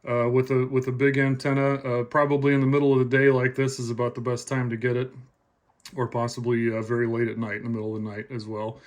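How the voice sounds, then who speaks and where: A man's voice: medium pitch, little pitch movement, fast talker. Casual man explaining things plainly. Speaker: presenter, radio hobbyist.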